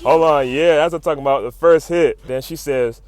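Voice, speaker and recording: A man giving a casual, excited recap as a video host, full of slang, swearing and animated exclamations. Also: a little high, brisk, dry recording. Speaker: YouTube host recapping comics.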